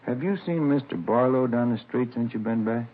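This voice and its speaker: A man's voice: medium pitch, a little fast, phone-quality sound, natural voice. A man playing an easygoing detective partner. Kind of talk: 1950s radio drama acting. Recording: thin crackly vintage radio recording.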